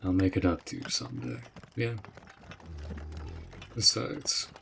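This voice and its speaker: A man playing a protective, courteous orc general in a fantasy audio roleplay, speaking in a measured, formal, earnest way. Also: low voice, huge pitch swings, vocal fry, pushed and bright, a little hiss or hum, exaggerated voice. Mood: gentle, earnest.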